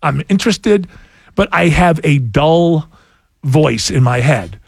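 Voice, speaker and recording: A man's voice: on the high side, lively intonation, slow, gravelly, breathy edge, no room sound, clean studio sound. A man hosting a casual talk show, rambling and restarting his sentences, laughing often. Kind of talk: casual banter.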